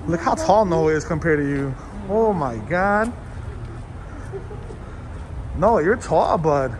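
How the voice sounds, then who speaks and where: A man's voice: fairly high pitch, lively intonation, takes it slowly, a little hiss or hum. A man filming a casual family vlog on a handheld camera at a mall and restaurant, laughing often. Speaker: vlogger.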